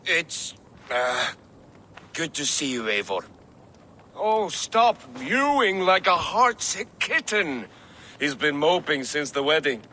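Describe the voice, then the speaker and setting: A man's voice: fairly high pitch, very animated, slow, sharp tone, slight background noise, exaggerated voice. A man playing a boisterous, crude, teasing Viking warrior in a video game, with a brash delivery. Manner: brash, mocking. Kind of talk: dramatic video game acting.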